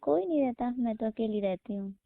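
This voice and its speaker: A woman's voice: fairly high pitch, expressive, a little fast, vocal fry, no echo. A woman playing a lonely, flirtatious married patient who confides coyly and hesitantly in her doctor in a staged romantic phone-call roleplay.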